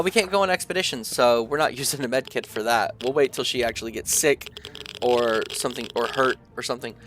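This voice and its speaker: A young man, stuffy from allergies, talks through a survival game in a relaxed, chatty way, pausing often to think out loud. His pitch sits right in the middle of the male range. Faint music plays behind him. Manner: relaxed, chatty, thinks aloud with many fillers. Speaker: gaming video creator. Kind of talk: casual let's-play commentary, reading game text aloud.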